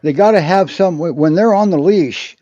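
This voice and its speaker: Halting man on a muffled dry line. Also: high-pitched, mellow, dry recording. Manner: halting, many fillers and restarts. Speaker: co-host. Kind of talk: casual chat on a live show.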